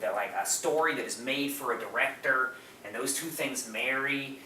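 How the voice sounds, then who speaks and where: Man, enthusiastic, casual movie talk, bright forceful tone, echoey room, some background noise. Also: mid-range voice, moderate pace. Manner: enthusiastic, gushing. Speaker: podcast co-host. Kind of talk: casual film discussion. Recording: roomy, distant mic, background noise.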